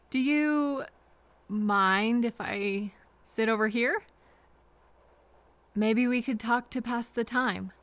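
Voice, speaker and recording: A woman acting as a kind female train passenger in an intimate audio roleplay. Her voice is high and soft, and she takes her time, hesitant and reassuring, leaving pauses for replies. The sound is close and dry. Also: no room sound. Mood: tentative, kind. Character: kind, apologetic woman train passenger comforting a frightened stranger.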